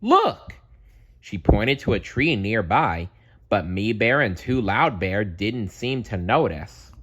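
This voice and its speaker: A man's voice: lowish voice, lively intonation, slow pace, a bit bright, husky, muffled recording. A man reading a children's storybook aloud as narrator. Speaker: storybook reader.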